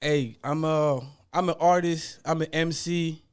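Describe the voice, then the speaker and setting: A man's voice: a little high, measured pace, clear, resonant tone, no room sound. Laid-back man from Mali, dry close mic. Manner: relaxed, laid-back, often trailing off quietly. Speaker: rapper guest. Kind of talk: casual interview chat.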